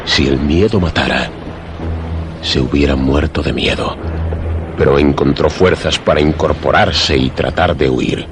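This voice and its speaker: A grave male storyteller in a horror radio play, with an extremely deep voice. He phrases solemnly and dramatically, with prominent music behind him. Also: a little slow. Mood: dramatic, intense. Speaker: narrator.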